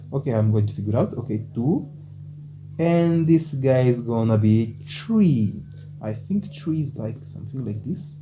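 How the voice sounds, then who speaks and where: A man's voice: mid-range voice, expressive, measured pace, soft background music. A man whose first language is Portuguese gives a casual coding lesson in English, chatty and loose, often tagging "okay?" and thinking aloud. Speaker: programming tutor. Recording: dull lo-fi mic with faint hum.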